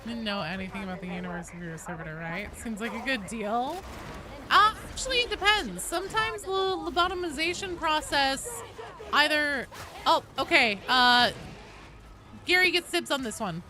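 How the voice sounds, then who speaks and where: A woman's voice: high voice, lively intonation, normal speed. A woman livestreaming a game, chatting casually and discursively about it, with frequent swearing. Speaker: game streamer. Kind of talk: casual livestream gaming chat.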